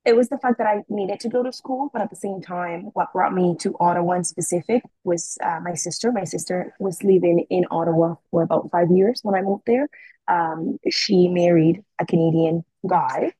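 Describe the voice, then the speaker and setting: A young woman from the Dominican Republic, answering interview questions in a relaxed way, with many fillers and restarts. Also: on the low side, moderate pace, breathy edge, no room sound, poor audio. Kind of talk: casual conversational interview.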